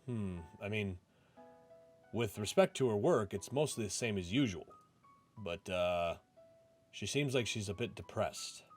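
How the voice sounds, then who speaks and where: A man's voice: on the low side, lively intonation, unhurried, long pauses. A man voicing a friendly, concerned office boss in a visual novel, informal and a little hesitant. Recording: music playing behind the voice.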